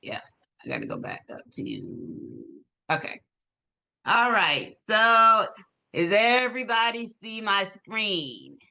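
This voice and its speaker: Female conference organizer, bright and projected voice, informal call presentation, instructive and encouraging, leans on repetition for emphasis. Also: medium pitch, huge pitch swings, slow, vocal fry.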